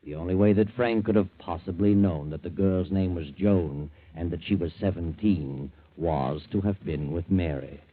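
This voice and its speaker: An actor playing a sardonic, gloating mystery storyteller, narrating knowingly in 1940s radio style. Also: male voice, sits low, normal speed, hardly any pauses. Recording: tinny old radio recording. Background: faint music.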